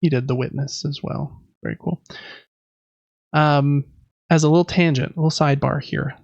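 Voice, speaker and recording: A man's voice: on the high side, steady tone, moderate pace, gravelly, darkish tone, no room sound, lo-fi sound. A man hosting a podcast, chatting informally and with enthusiasm about games. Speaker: podcast host. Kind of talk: casual gaming podcast chat.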